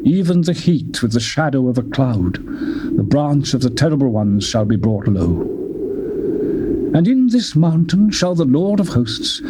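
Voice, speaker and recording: A man reads scripture in a solemn, declamatory way, climbing to fervent peaks. His voice sits in a middle pitch. A clear hiss from the old tape runs under every line. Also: big pitch swings, a little slow. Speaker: Bible reader. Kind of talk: dramatized scripture reading.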